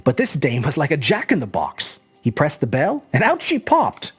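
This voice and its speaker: Husky, dramatically swooping storyteller. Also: medium pitch, faint music behind. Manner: expressive, measured, dramatic. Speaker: storyteller, host. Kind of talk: scripted narration of a short story.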